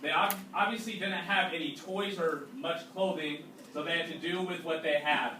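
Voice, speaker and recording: A man reading a storybook aloud to a class as their teacher, pausing to explain and ask questions. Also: on the high side, fairly even intonation, sharp tone. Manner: instructive, checking understanding with questions. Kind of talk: read-aloud with explanatory asides and questions to pupils. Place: indoors, classroom. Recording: roomy, distant mic, faint background noise.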